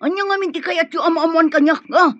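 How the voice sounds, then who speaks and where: An actor with a Filipino accent playing a surly, cagey, cornered gang henchman with a husky voice in a radio drama. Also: very high voice, fast, bright, forceful tone, muffled recording. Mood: cornered, provoked.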